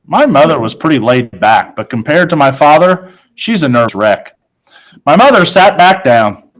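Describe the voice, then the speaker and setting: A man's voice: on the high side, sing-song, moderate pace, poor audio. A man narrating a children's book in the first person, reading from the text as a storyteller.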